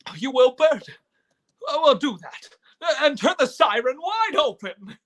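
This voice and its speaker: A man's voice: extremely high pitch, sing-song, unhurried, a bit bright, full character voice. A man playing an emotional, stammering family man in a live radio play, speaking with breathless urgency. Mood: overjoyed, giddy. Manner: stammering, impassioned, breathless pleading. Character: frantic, despairing then overjoyed family man.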